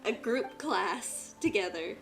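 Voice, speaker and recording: A woman streams a video game with casual, offhand commentary. Her voice sits at a medium pitch with lively movement, and game music plays clearly behind her. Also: slow.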